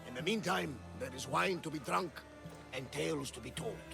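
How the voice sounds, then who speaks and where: A hearty one-eyed rebel lieutenant. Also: male voice, a little high, normal speed, gravelly. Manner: hearty, proud, lively. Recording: game cutscene with music.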